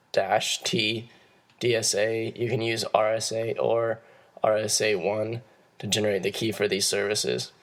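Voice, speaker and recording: A hoarse young man, losing his voice, giving a class presentation and explaining steps in a halting way with frequent restarts. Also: fairly low pitch. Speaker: student presenter.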